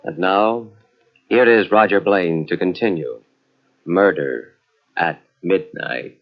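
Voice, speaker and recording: A man announcing a vintage radio mystery in an ominous, dramatic, deliberate style. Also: low-pitched, creaky. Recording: muffled vintage radio broadcast on cassette.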